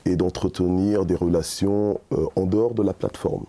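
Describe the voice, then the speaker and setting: A man, a pastor and interview guest from Belgium, speaking softly and hesitantly with many fillers. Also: low-pitched, steady tone, normal speed. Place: studio set.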